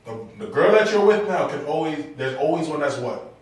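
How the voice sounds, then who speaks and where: A man's voice: a little high, animated, brisk, soft background music. Joking man hosting a roomy group chat. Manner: joking, teasing, talks over others. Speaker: podcast host. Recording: echoey room, mic far off.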